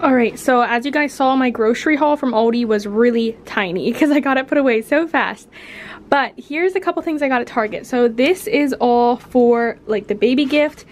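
Young adult American female vlogger, informal and chatty, higher voice, light music underneath. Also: normal speed. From United States. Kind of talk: casual vlog chat.

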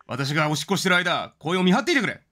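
A man's voice: on the high side, very animated, rapid-fire, bright, forceful tone, heavily acted. A man voicing a pompous, strict park keeper in video game dialogue, officious and commanding.

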